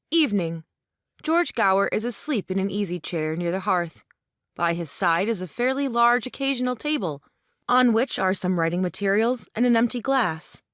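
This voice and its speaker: A woman's voice: medium pitch, dry recording, clean studio sound. A woman narrator reading scripted stage directions for a play.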